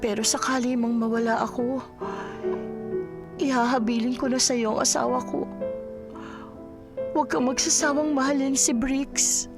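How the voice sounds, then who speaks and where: An actress with a Filipino accent playing a frail, grateful, ailing wife in a radio drama. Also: female voice, high voice, steady tone, normal speed, long pauses, music in the background. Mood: grateful, resigned.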